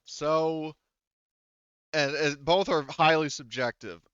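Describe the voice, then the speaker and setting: A man improvising as game master of a tabletop game, thinking aloud in a halting, casually profane way. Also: fairly high pitch, fairly bright tone, clean tone, dry recording, muffled recording.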